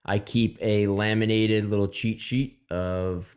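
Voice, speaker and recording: A man's voice: on the low side, steady tone, takes it slowly, darkish tone. A young man hosting his own channel, walking through a homemade emergency radio kit in a plain, matter-of-fact way. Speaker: host. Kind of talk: casual gear walkthrough.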